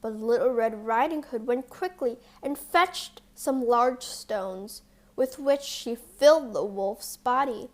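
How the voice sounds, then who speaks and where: A woman narrating a scripted fairy tale for young children as a storyteller, reading deliberately. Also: fairly high pitch, darkish tone.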